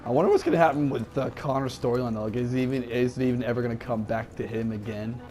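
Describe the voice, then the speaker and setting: A man's voice: medium pitch, fast talker. A congested young man giving casual, rambling commentary on a video game. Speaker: let's play commentator.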